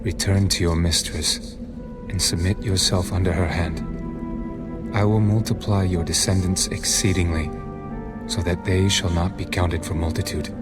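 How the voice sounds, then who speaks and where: Man as deep, grave angel over loud music. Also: steady tone, natural voice. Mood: grave, authoritative. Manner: grave, authoritative, deliberate. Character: male angel of the Lord, grave and authoritative messenger. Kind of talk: dramatized scripture acting.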